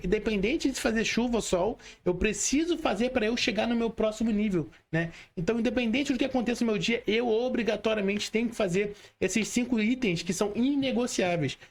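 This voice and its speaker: A woman with a Brazilian accent hosting a podcast, explaining things conversationally and didactically, posing questions and answering them herself. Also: fairly high pitch. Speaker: podcast host and trainer. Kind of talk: conversational teaching, interview.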